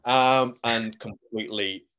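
A young man with a Northern Irish accent, a guest actor telling stories in a casual podcast chat, animated and laughing often. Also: mid-range voice, takes it slowly, clean tone. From Northern Ireland.

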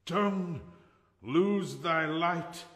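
An actor playing a hammy amateur thespian as a doomed lover, declaiming Shakespearean verse melodramatically in a radio drama. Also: male voice, a little high, extremely slow, a bit bright. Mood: dying, theatrical. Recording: echoey hall with long reverb.

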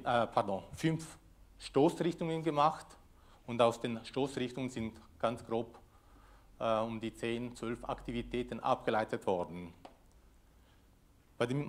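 Man giving a talk. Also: mid-range voice. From Switzerland. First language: Italian. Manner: loose, hesitant delivery with many fillers. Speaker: conference speaker. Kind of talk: conference presentation. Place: lecture room with audience. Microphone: lectern microphone.

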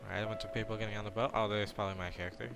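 A man's voice: fairly low pitch, level tone, rapid-fire, creaky. An American man giving casual, offhand, joking let's play commentary. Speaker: let's player. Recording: game music behind the voice. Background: game music.

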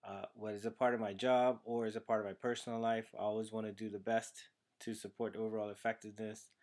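A man from Trinidad and Tobago, a young adult to his thirties, giving a prepared class video essay, earnest and steady, with some "uh" fillers, recorded on a webcam in a small room at home. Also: fairly low pitch, quick pace. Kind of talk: prepared personal presentation. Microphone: built-in webcam microphone, close framing.

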